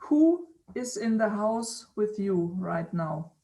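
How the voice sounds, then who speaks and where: A woman interviewer on a video call who speaks haltingly, repeating words and laughing often. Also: mid-range voice, animated, mellow. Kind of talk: casual conversation.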